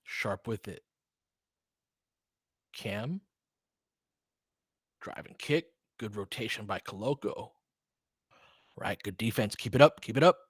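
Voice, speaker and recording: A man's voice: on the low side, expressive, slow pace, pauses often, husky, no room sound. Man calling basketball casually, dry close sound. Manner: informal, clipped phrases, mild swearing. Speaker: podcast host doing live play-by-play commentary. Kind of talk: casual live basketball commentary with chat replies.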